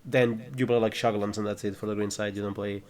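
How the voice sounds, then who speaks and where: A man's voice: lowish voice, fast talker, a little hiss or hum. A man co-hosting a podcast. He chats casually and fills his speech with "like" and "you know". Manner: chatty, with a steady stream of "like" and "you know". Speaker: podcast co-host. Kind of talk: casual chat.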